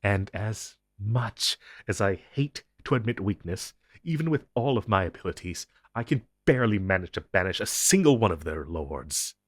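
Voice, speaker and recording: Man playing a deep, husky, ancient supernatural commander. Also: animated, no echo, clean studio sound. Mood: grave, confiding. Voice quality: low, husky. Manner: grave, commanding, deliberate with dramatic pauses. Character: ancient, powerful, possessive male supernatural being, grim secret-agency superior. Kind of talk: intense dramatic audio roleplay monologue addressed to listener.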